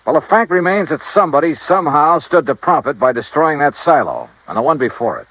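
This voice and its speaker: A hard-boiled insurance investigator from a 1950s radio drama. His delivery is clipped, confident and very animated in pitch. The old broadcast sound is slightly muffled. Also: a little fast. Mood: probing. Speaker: lead actor in a radio detective drama. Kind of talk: 1950s radio acting, first-person narration and dialogue.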